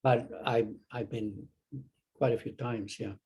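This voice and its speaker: An older man from Chile with a Chilean Spanish accent, a Zen teacher talking as an interview guest, halting with many restarts and fillers. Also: mid-range voice, steady tone, creaky, dark tone, slightly rough. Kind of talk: casual interview conversation.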